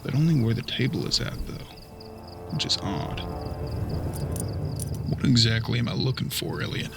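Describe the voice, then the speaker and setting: An actor playing a young, inquisitive apprentice detective in a western audio drama, with a folksy, questioning manner. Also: male voice, medium pitch, moderate pace, vocal fry, over loud music, close to an everyday voice. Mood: puzzled, observant.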